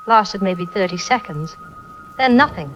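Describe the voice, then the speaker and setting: A woman playing a frightened, weary survivor calling in to a newscast, speaking in halting fragments over a phone line. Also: medium pitch, animated, a bit bright, a little hiss or hum. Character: frightened, exhausted woman survivor trapped by zombies. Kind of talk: phone call to news, audio drama. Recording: dull phone-line sound, rain behind.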